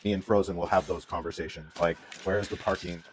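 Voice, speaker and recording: A man's voice: sits low, fairly even intonation, quick pace, slight background noise. A man co-hosting a casual livestream, talking reflectively and often searching for his words. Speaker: livestream co-host.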